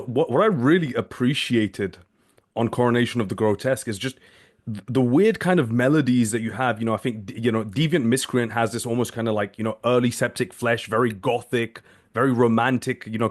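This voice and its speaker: A man's voice: mid-range voice, fairly quick. Man hosting a casual interview. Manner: enthusiastic, rambling questions with many fillers. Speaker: podcast host.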